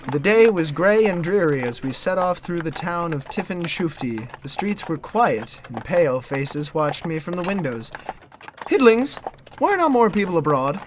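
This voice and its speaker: A man's voice: fairly high pitch, very animated, normal speed, noisy background. A young man playing a polite, bemused narrator of a comic gothic audio drama, speaking formally. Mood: curious, narrating. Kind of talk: scripted first-person narration and comic drama acting.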